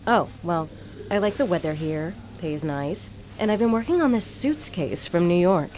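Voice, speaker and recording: A woman acting as an easygoing, quick-witted and compassionate police detective in an audio crime drama. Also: fairly low pitch, animated, a little mellow, slight background noise, lightly acted. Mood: wry, easygoing.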